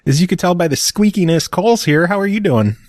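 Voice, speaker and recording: A man's voice: high-pitched, lively intonation, fast talker, darkish tone, no echo, clean studio sound. A man hosting a podcast in casual chat, teasing his co-hosts with crude jokes. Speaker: podcast host.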